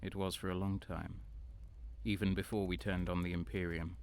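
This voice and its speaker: A man's voice: sits low, level tone, moderate pace, vocal fry, darkish tone, slight background noise. A man playing a bitter, hardened traitor soldier, narrating an audio drama in the first person with a grim, brooding manner. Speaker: narrator and protagonist.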